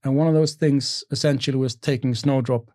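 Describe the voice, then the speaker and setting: A man's voice: medium pitch, fairly even intonation, brisk, dark tone. Enthusiastic man telling his story. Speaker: podcast guest. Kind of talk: casual interview chat.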